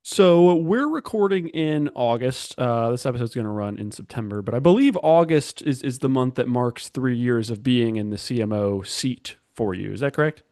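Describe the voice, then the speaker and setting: A man hosting a marketing podcast, reading a scripted intro with upbeat, clear delivery and then asking interview questions. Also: mid-range voice, animated, normal speed, hardly any pauses, a little mellow, dry recording, studio quality. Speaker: podcast host and reporter.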